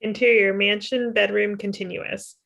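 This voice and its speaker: A woman with a mid-pitched voice reads stage directions. It is scripted narration for a table read, recorded at home over a video call. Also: level tone, moderate pace, gravelly, a bit bright, lo-fi sound. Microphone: video call computer microphone.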